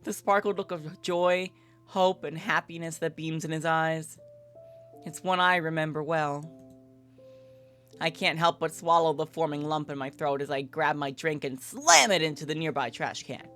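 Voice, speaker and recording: Female game streamer, slightly low voice, candid and profane, narrating and commenting over light music. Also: moderate pace, a bit bright. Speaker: livestreamer playing and reading aloud a visual novel. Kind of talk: gaming livestream with read-aloud and casual commentary. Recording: background music under the voice.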